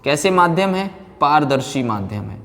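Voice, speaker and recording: A man's voice: fairly high pitch, very animated, normal speed, fairly bright tone. A man teaching a physics class like a lecturer, asking questions and answering them himself. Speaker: science teacher. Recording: very reverberant room, background noise.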